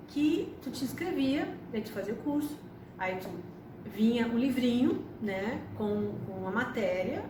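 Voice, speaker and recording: Brief: woman, 50s, chatty storyteller, distant mic, noisy echoey room. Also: a little high. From Argentina. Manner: chatty, frequent tag questions. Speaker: astrologer and psychotherapist. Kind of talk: personal storytelling to camera.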